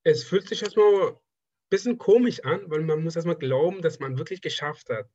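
A young man from Afghanistan with Persian as his first language answers questions in a relaxed, halting way, often restarting his phrases. His voice is fairly high and a bit husky. The sound is dry and slightly muffled. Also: dry recording. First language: Persian (Dari). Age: 20s. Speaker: interviewee, trained draftsman. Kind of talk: casual conversational interview.

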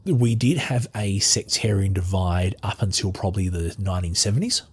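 Very husky deep-voiced Australian man. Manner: halting, many restarts and fillers while searching for words. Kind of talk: casual conversational interview.

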